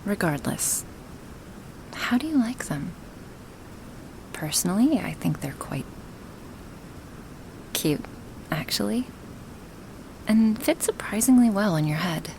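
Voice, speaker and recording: Woman voicing a slow, whispery, gentle dragon woman. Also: medium pitch, very animated, slight background noise, full character voice, low-quality recording. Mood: hesitant, gently frank. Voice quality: breathy, often slipping into whispers. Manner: slow, hesitant, with sighs and pauses. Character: gentle dragon woman, awkward, affectionate toward her human. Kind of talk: ASMR roleplay, spoken softly and intimately to the listener.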